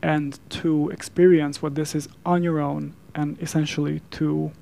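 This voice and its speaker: A man from Serbia with a Serbian accent, a native Serbian speaker, giving an earnest talk in a deliberate, formal manner with emphatic pauses. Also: a little high, little pitch movement, gravelly, dark tone. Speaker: speaker, coach and podcaster. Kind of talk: earnest public talk to a digital audience.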